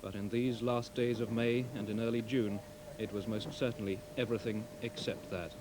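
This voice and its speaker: A man reading a measured, scripted TV news report in carefully composed phrases. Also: fairly low pitch, steady tone, background noise.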